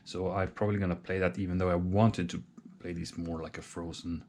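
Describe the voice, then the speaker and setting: A man thinking aloud while he sets up a solo wargame, talking hesitantly with many pauses and restarts. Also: low-pitched, little pitch movement, a little mellow. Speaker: solo board game player.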